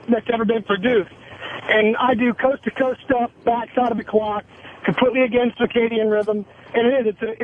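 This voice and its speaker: A man with a very high voice comes through a thin, slightly noisy phone line. He is a radio caller making his case about flying, measured but dismissive. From United States. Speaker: radio show caller, airline pilot. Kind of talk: argumentative call-in discussion.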